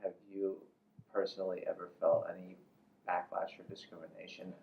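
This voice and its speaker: Deep-voiced man interviewing, echoey sound. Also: level tone, normal speed, recorded from a distance. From United States. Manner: informal, rambling, repeats filler phrases. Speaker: interviewer, host. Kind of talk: casual interview. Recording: echoey room, muffled, faint noise.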